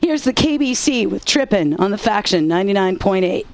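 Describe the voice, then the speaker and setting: A woman's voice: medium pitch, lively intonation, a little fast, a little mellow, no room sound, close to an everyday voice. A woman playing a sassy, teasing rock radio DJ who reads song intros in dry, scripted banter.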